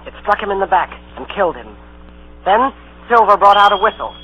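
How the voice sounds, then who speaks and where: A man's voice: sits high, sing-song, brisk, background noise. A man playing an adventurous youth who narrates his tale dramatically in an old scripted radio drama. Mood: tense. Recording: tinny vintage radio broadcast with music.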